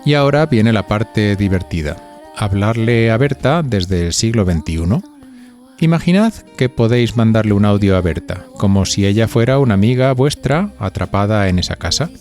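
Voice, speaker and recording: A man's voice: on the low side, expressive, moderate pace, darkish tone, soft background music. A man with an accent from Spain hosting a podcast, reading scripted narration and putting conversational questions to listeners. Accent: Spanish from Spain. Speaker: podcast host.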